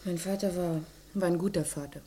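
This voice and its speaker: Hesitant, low-pitched young daughter played by a woman. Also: fairly even intonation, slight background noise, close to an everyday voice, low-quality recording. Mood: hesitant, subdued. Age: young adult. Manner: halting, guarded, soft-spoken. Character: shy, hesitant young office-working daughter. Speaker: actress in a crime radio play. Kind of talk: German radio drama acting.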